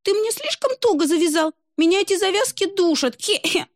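A woman voicing a capricious, whiny little mermaid girl with a high, pouty voice. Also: lively intonation, brisk, dry recording, studio quality. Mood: whining.

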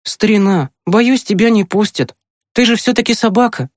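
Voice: mid-range voice, moderate pace, no echo, studio quality, voice pushed up, close to an everyday voice. An audiobook narrator voicing an eager, boastful boy who is finishing primary school. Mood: tender. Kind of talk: acted audiobook dialogue.

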